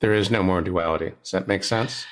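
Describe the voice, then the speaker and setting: A man's voice: low-pitched, fairly quick. A man hosting an interview podcast, asking curious, conversational questions with a light touch of humor. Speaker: podcast host.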